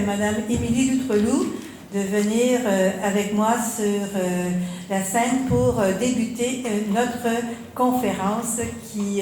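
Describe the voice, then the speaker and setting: A woman's voice: mid-range voice, a little mellow. Hesitant Quebec woman moderator. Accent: Quebec French. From Quebec, Canada. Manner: halting, frequent fillers. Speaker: conference moderator and expert. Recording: echoey hall with faint noise.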